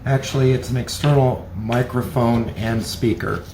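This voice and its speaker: A man's voice: mid-range voice, normal speed, vocal fry, background noise. A man giving a hands-on gadget review, explaining features plainly and methodically, step by step. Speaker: product reviewer.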